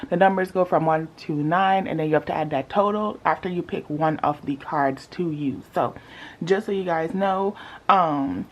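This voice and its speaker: A woman's voice: on the low side, a little hiss or hum. A young woman with a sleepy morning voice, chatting playfully with her viewers while filming a budgeting vlog at home. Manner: playful, animated, talks to viewers as y'all. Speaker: budgeting vlogger.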